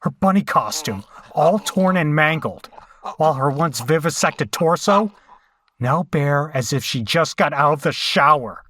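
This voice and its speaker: Horror drama lead, male actor: shaken survivor telling the tale gravely and unhurriedly, in a dry space. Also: fairly high pitch, lively intonation, a little slow, sharp tone, no echo, heavily acted. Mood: horrified. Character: shaken horror survivor recounting a bloody escape. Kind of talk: first-person horror audio drama narration.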